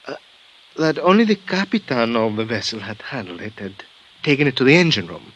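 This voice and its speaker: A grave Mexican doctor. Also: male voice, mid-range voice, sing-song, a bit bright, muffled recording. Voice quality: measured, hesitant, halting. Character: middle-aged male Mexican hospital doctor, grave and formal. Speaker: actor in radio drama. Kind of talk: 1950s radio drama acting. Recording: old radio broadcast with faint hiss.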